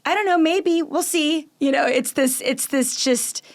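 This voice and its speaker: Upbeat podcast host lady, late thirties, fry in her voice, dry close mic. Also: high voice, no room sound. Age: 30s. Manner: upbeat, encouraging, asks drawn-out questions. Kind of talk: casual interview chat.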